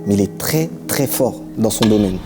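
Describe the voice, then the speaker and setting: A man's voice: lowish voice, animated, normal speed, over loud music. A young man giving short, matter-of-fact voice-over asides. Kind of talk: voice-over commentary.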